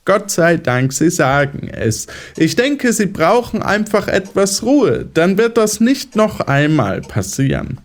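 High-voiced, condescending psychiatrist played by a man. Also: sing-song, slow pace, hardly any pauses, exaggerated voice. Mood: patronizing, soothing. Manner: condescending, didactic. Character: patronizing male psychiatrist, smooth, lecturing, controlling. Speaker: voice actor in an audio drama. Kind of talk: German audio drama acting.

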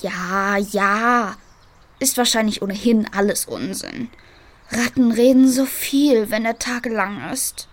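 Radio-play talking cat, female actor, small and imperious, impatient with fools, higher pitched, measured pace. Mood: dismissive. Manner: curt, scornful questioning. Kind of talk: German children's radio play acting.

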